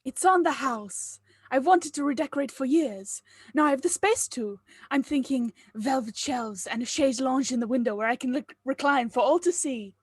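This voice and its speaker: Woman acting droll theatrical shopkeeper lady, grand and languid, highish voice, dry recording. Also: normal speed, breathy edge, no echo. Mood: dreamy, droll. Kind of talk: table read of a drama script.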